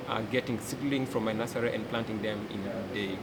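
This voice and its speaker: Ugandan guy, young, earnest, lots of uh's, heavy rumble and traffic behind him. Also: fairly even intonation. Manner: earnest, many "uh" pauses. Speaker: forest educator and delegate. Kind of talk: interview testimony. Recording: loud background hum.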